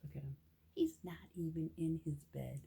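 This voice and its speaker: A woman reading a picture book aloud to young children with gentle, expressive asides that sometimes drop into a hush. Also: low-pitched, moderate pace, gravelly, slightly rough. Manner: gentle, expressive, often drops into hushed whispered asides.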